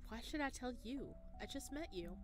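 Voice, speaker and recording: A woman's voice: high-pitched, lively intonation, normal speed, music in the background. A woman voicing a confused, irritable dreamer who talks bluntly and skeptically in a visual novel.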